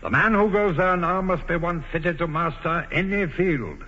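An actor playing a scheming lord counsellor, formal and oratorical, with a raspy voice, in 1940s radio theatre style. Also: male voice, fairly high pitch, moderate pace, bright, forceful tone. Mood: urgent, formal. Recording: muffled vintage 1940s radio broadcast.

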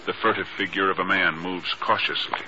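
A man's voice: sits low, fairly even intonation, brisk, noisy background. A man narrating a 1950s radio drama as announcer, solemn and portentous. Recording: muffled old radio recording, music behind. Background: dramatic music.

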